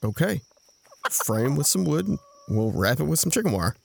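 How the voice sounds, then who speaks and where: Casting: actor as handy, exasperated male sibling for audio drama, swooping intonation, rough creaky edge. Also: on the high side, sing-song, moderate pace, background noise, heavily acted. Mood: wry, instructive. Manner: wry, incredulous, instructive. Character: exasperated, practical, protective brother, handy and patient.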